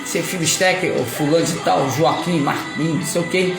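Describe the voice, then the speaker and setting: A man's voice: fairly high pitch, brisk, creaky. A man from Rio de Janeiro with a Carioca accent, preaching a rambling, emphatic sermon with playful asides. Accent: Carioca Portuguese. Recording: echoey room with music behind.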